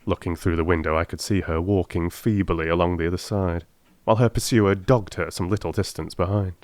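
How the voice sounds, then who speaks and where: A man's voice: low voice, steady tone, a little fast, darkish tone. A man narrating an audiobook in the first person, reading scripted prose in a dramatic style.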